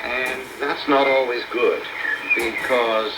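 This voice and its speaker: A man's voice: mid-range voice, fairly even intonation, slow pace, echoey room, very noisy. A man being interviewed on a shortwave radio broadcast, choosing his words carefully with hesitations and formal phrasing. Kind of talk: conversational interview.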